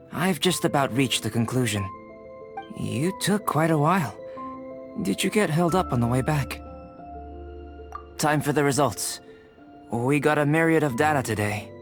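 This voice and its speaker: A man voicing a calm, gentle young male alchemist who speaks in a measured, reflective way in a game dub. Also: medium pitch, lightly acted. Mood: matter-of-fact. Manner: measured, soft-spoken and reflective. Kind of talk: fan dub of a game cutscene.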